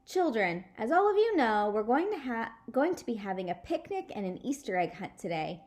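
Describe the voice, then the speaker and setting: A woman voicing a polite, formal father making measured announcements to children in a storybook. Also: on the high side, very animated, a little mellow. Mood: announcing. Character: formal, patient father addressing a party of children.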